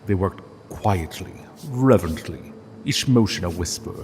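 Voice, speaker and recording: Slow, low-voiced solemn male narrator. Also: animated, a little mellow, in a large hall, slight background noise. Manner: solemn, deliberate, dramatic pauses. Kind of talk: scripted literary story narration.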